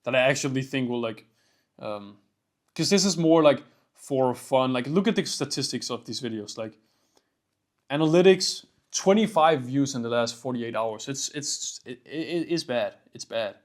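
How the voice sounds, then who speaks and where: A man's voice: medium pitch, normal speed. A young man from Denmark with a Danish accent, talking casually to camera in a rambling vlog, full of "like" and "bro" and swearing. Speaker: vlogger.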